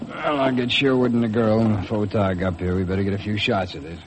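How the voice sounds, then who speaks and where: A man's voice: fairly low pitch, steady tone, fast talker, a crowd in the background, lightly acted. An actor playing an easygoing, chatty American man aboard a boat in a 1950s radio drama. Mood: amused. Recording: noisy vintage 1950s radio recording.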